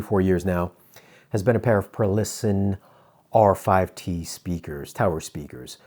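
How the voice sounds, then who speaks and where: A man's voice: on the low side, dark tone. A man presenting a product review in a casual, conversational way, explaining details as he goes. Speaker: audio gear reviewer.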